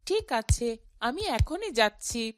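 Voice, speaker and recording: A woman's voice: fairly high pitch, sing-song, slow pace, slight background noise. A woman voicing a polite, earnest young boy in a cartoon. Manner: earnest, respectful.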